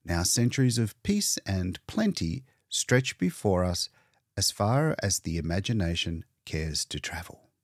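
An elderly, self-assured doctor played by a male narrator holds forth in an audiobook. The delivery is expansive and emphatic, swinging widely in pitch at an unhurried pace, recorded close and dry. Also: big pitch swings, slightly rough, no room sound, heavily acted. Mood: grand, optimistic. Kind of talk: audiobook character dialogue.

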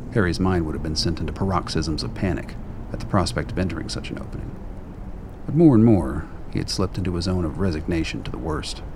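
A man reading scripted narration for a radio play, telling the story as its narrator. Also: deep voice. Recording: clear background ambience noise.

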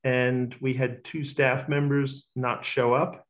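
A man's voice: medium pitch, level tone, slow. A middle-aged man, talkative and self-assured, giving casual interview answers as a racecourse bookmaker. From Australia.

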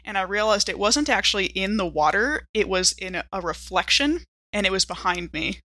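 A woman playing a tabletop roleplaying game, improvising an eerie first-person story and building it deliberately, piece by piece. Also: medium pitch, expressive, bright, forceful tone, no room sound.

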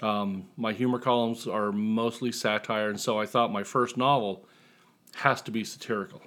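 A man's voice: fairly low pitch, steady tone, normal speed, fairly bright tone. Casual, joking man chatting as an author guest. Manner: joking, self-deprecating. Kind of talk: casual interview chat.

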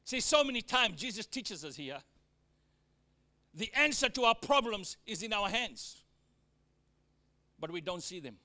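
A man with a Fijian accent delivers a sermon in a very high, piercingly bright voice. He punctuates his points with amens and call-outs to the congregation. He drops in joking asides and swings from loud declarations to near-murmurs. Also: very animated, unhurried, long pauses. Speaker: preacher.

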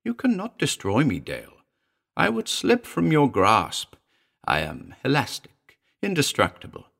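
A man voicing a small, malevolent demon familiar with a shrill, sly, insistent delivery in an audio horror story. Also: huge pitch swings, measured pace, vocal fry, a bit bright, exaggerated voice. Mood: taunting.

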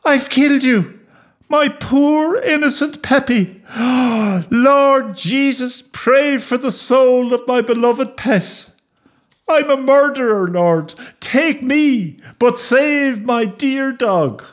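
A man's voice: very high voice, takes it slowly, voice pushed up. A man voicing a distraught, guilt-stricken young boy in a melodramatic, wailing way for a comic story. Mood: anguished, pleading.

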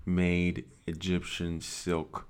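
A man's voice: low voice, completely flat delivery, very slow, over a noisy crowd. A man hosting a podcast, chatting playfully with his guest and laughing easily. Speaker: podcast host. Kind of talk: casual chat.

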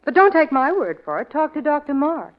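Coy, expressive young radio-drama nurse. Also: female voice, high-pitched, brisk. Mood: cool, assured. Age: young adult. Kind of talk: 1940s radio drama acting. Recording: muffled old radio drama recording.

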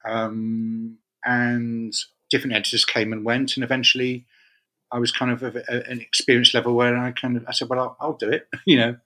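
A man's voice: medium pitch, level tone, normal speed, pushed and bright. A middle-aged man from the UK chatting as an interview guest, rambling with lots of restarts. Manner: rambling, many restarts and self-corrections. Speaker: guest, games magazine editor. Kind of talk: casual interview chat.

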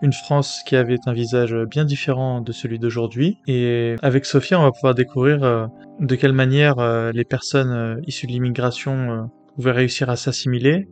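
A man with a mid-range voice introduces the episode as its host. His delivery is earnest and steady, broken by frequent hesitations, and faint guitar music plays behind him. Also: mid-range voice, normal speed.

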